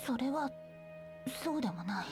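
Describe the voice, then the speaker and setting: A woman's voice: fairly high pitch, expressive, fast, darkish tone, soft background music. A woman playing a stern, proud, stubborn young soldier in a Japanese video game, speaking in curt, serious phrases.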